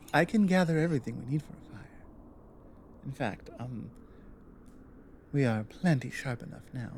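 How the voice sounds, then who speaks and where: Casting: strong, protective female orc acted by a woman for ASMR audio drama. Unhurried, halting, earnest delivery, faint background ambience. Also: extremely low pitch, mellow, slight background noise, exaggerated voice. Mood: casual, confident. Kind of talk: intimate ASMR roleplay monologue.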